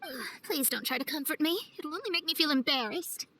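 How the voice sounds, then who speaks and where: A woman's voice: high voice, lively intonation, fairly quick, sharp tone, heavily acted. A woman playing a proud, easily flustered young astrologer mage in a video game, self-assured and dramatic. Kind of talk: video game cutscene acting. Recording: clear music behind the voice.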